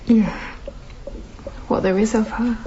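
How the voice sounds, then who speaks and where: An actress playing a loving, anxious, gently sceptical young mother in a radio drama, with an understated, intimate delivery. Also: fairly high pitch, fairly even intonation, takes it slowly. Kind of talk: naturalistic radio drama acting. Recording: slightly dull, faintly noisy recording.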